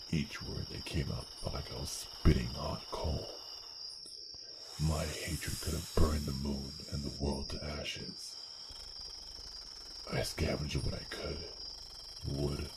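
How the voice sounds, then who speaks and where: An extremely low-voiced, vengeful male assassin, as a man acts him out in a fantasy ASMR roleplay. He speaks slowly and intensely, with brooding pauses and phrases that sink toward a whisper. Loud cricket and insect ambience runs under the voice. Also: very noisy, exaggerated voice. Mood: bitter, anguished. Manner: brooding, intense, halting delivery with breathy near-whispered phrases. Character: vengeful male dragon assassin, fiery and hate-driven. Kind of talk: dramatic first-person roleplay monologue, ASMR.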